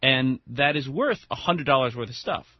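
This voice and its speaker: A man's voice: mid-range voice, lively intonation, normal speed, breathy edge, dry recording, highs cut off. A man co-hosting a radio show, chatting casually and enthusiastically, rambling with many restarts.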